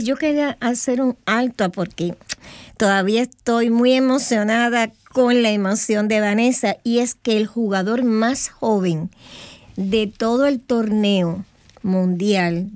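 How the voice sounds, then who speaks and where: A woman co-hosting a casual sports talk show, chatty and quick to chime in. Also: on the high side, darkish tone, no room sound. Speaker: radio co-host. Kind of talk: casual radio sports chat.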